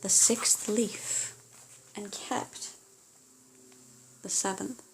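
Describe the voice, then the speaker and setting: A woman narrating a children's fairy tale as an audiobook reader, theatrical and measured with deliberate pauses. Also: medium pitch, steady tone, noisy background.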